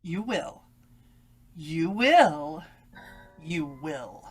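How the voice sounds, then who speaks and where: Casting: sinister inner voice, female, unhurried, insistent and cruelly taunting, for radio horror play. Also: low voice, animated, breathy edge, faint music behind, exaggerated voice. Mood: coaxing, insistent. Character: evil woman's voice inside a young woman's head. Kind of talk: old-time radio horror acting.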